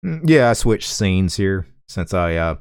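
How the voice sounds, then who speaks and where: A man's voice: sits low, sing-song, a little mellow, clean tone, no echo. A man with a Southern US accent hosting a livestream, chatting casually and unhurriedly with a caller, peppering his talk with "yeah". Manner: laid-back, drawn-out, frequent "yeah"s. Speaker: livestream host. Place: small home room full of computer gear.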